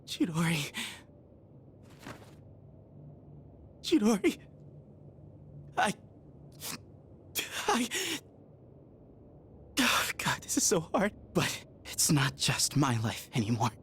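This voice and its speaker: Game role: grief-stricken, hot-headed teen boy, high-pitched, hugely varied, raw intensity. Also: huge pitch swings, slow pace, long pauses, a bit bright, a little hiss or hum, heavily acted. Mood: grieving. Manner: impassioned, raw, slangy. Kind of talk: dramatic video game voice acting. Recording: music under the voice.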